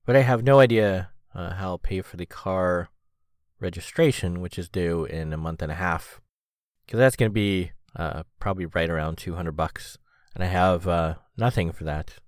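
Man, very low voice, head cold, rambling podcast talk with lots of fillers, dry close sound. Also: dry recording. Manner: rambling, halting with frequent fillers. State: sniffly head cold, throat a bit off. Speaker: podcast host. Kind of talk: casual solo podcast monologue.

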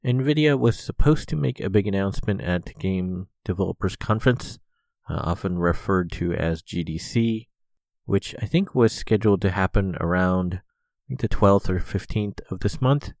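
A man's voice: low voice, moderate pace, creaky, darkish tone, no room sound. A young man hosting a rambling solo podcast, stuffed up with a cold, filling his sentences with lots of "uh". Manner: rambling, many "uh" fillers. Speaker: podcast host.

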